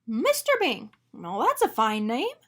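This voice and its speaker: A woman voicing an excitable, dramatic little male doughnut in a children's storybook, full of exclamation. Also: on the high side, unhurried, full character voice. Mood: delighted.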